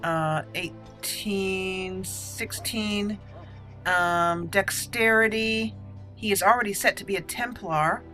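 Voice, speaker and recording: A woman's voice: medium pitch, takes it slowly, bright, forceful tone, faint music behind. A woman hosting a let's play, giving casual commentary as she goes through a video game. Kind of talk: casual gameplay commentary with roleplay.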